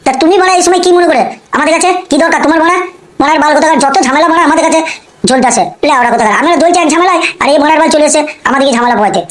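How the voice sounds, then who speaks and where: Female performer as male cartoon gangster, short-tempered, cursing, very quick delivery, high pitch. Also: a little hiss or hum, lightly acted. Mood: irritated. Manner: blustering, curse-laden, repetitive. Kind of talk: amateur comic cartoon dialogue.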